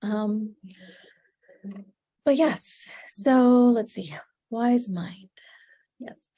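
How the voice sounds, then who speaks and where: A woman's voice: a little high, extremely slow, dark tone, no room sound. Chatty sounding woman therapist, webinar talk, dry close mic. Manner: chatty, many fillers, laughs easily. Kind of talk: informal webinar teaching.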